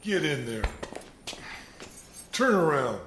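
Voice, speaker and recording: Stern male corrections officer played by an actor, higher-pitched, blunt and curt, film drama in a strongly echoing room. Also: very animated, slow pace, fairly bright tone, exaggerated voice. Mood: commanding. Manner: gruffly commanding, blunt. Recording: echoey, slightly noisy room.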